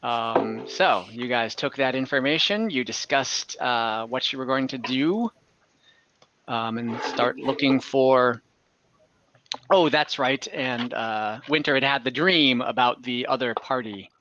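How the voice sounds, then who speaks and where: A man's voice: medium pitch, slow, highs cut off, noisy background. A man casually recapping and narrating a tabletop game as game master, conversational and hesitant, often correcting himself. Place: indoor room, online game session.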